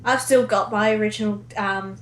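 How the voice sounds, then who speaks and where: A woman's voice: medium pitch, fairly even intonation, normal speed, slight background noise. A woman chatting casually about video games as a guest on a talk show. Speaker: guest, amateur voice actor.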